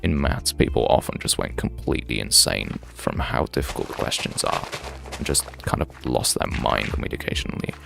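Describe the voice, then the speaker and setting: A man's voice: a bass voice, normal speed, creaky, music in the background. A young man in his twenties, chatting casually and thinking aloud on a game livestream, drifting between tangents. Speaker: game streamer. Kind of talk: casual livestream chat while playing.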